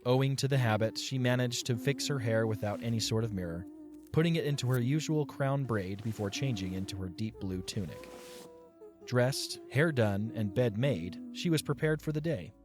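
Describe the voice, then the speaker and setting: A man's voice: mid-range voice, animated, moderate pace, music in the background. A man reading scripted story narration as the narrator of an audio drama.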